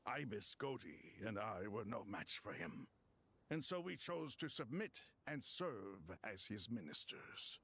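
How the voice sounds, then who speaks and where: An actor playing an ancient, courteous crocodile king spirit in a video game, speaking formally and deliberately. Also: fairly low pitch, big pitch swings, creaky, bright, forceful tone, husky, exaggerated voice. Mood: solemn storytelling. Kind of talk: video game voice acting, storytelling dialogue.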